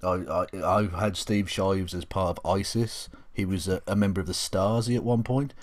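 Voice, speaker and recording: A man with a low voice chats as the co-host of an online discussion. His delivery is casual and joking, given to comic exaggeration. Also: fairly even intonation, moderate pace. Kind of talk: casual banter and commentary.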